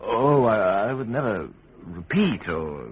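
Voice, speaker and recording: An ambitious young Englishman in a Tudor radio play. He is needy and ingratiating, with halting phrases and a pitch that jumps about, on a tinny radio recording. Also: big pitch swings. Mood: nervous, cautious. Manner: hesitant, stammering, eager. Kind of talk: British radio drama acting.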